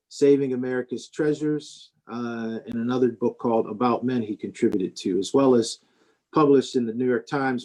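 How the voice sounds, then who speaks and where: Man, host, informal spoken introduction, halting delivery, pauses between phrases. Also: mid-range voice, a little mellow. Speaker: host introducing a guest speaker.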